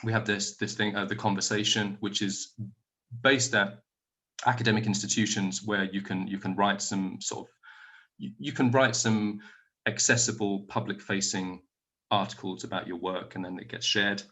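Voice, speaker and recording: A fairly low-voiced man from the UK speaks at an online conference. He talks casually and loosely, often restarting his sentences and filling gaps with "um" and "you know". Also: fairly even intonation, normal speed, sharp tone. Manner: informal, rambling, many restarts and fillers.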